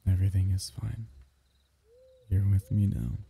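A man voicing a possessive, soothing alien symbiote in an ASMR roleplay, speaking in a soft, coaxing, intimate way with breathy drops into whisper. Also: sits low, level tone, a little slow, heavily acted. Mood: gently hushing. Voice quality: husky, breathy, often dropping into whisper. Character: possessive, soothing alien symbiote, male, semi-liquid creature.

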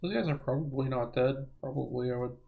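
A young man giving rambling, self-correcting let's play commentary, his voice hoarse as if he is losing it. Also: mid-range voice, fairly quick, phone-quality sound. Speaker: gaming channel host.

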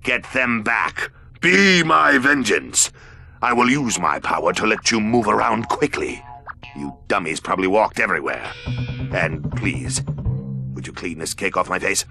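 A man's voice: on the low side, lively intonation, a little slow, vocal fry, pushed and bright, soft background music, heavily acted. A man voicing a bossy, grumbling spirit who commands and insults the heroes in a cartoon video game. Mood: grumpy, commanding.

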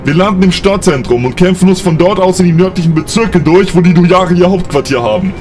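A man plays a ruthless, commanding military officer in a sci-fi audio drama. His voice is high for a man and he talks bluntly, cursing as he goes. Music plays clearly behind him. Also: fast, darkish tone, natural voice. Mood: grim, commanding. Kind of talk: science fiction audio drama acting.

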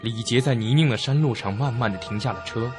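A young man, played by an actor, narrates an eerie radio drama in suspenseful scripted style. His voice is mid-pitched, set against loud music. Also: a little fast, close to an everyday voice. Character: young male traveller recounting an eerie trip. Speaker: narrator and character.

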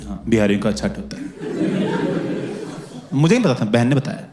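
A young man performing a stand-up comedy set into a handheld mic, telling stories in a playful, animated way. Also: medium pitch, fairly quick, heavy background noise. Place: comedy stage with live audience. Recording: roomy club sound with loud crowd laughter.

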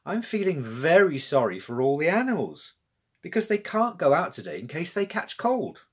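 A man's voice: fairly high pitch, sing-song, moderate pace, husky, pitched above the performer's own voice. A man voicing a polite, earnest French zoo worker in a children's story. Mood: glum, polite.

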